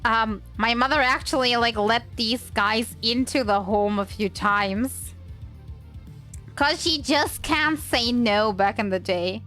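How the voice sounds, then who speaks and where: A woman's voice: fairly high pitch, takes it slowly, bright, forceful tone, soft background music. A woman livestreamer with a slight cold, chatting casually in a hesitant, rambling way.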